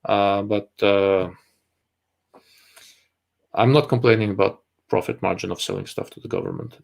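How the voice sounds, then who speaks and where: A male host talks in a loose, rambling way, stopping often on ums and uhs. His voice sits low and carries a gravelly rasp. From Russia. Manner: rambling, frequent fillers and restarts. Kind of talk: live-stream casual chat.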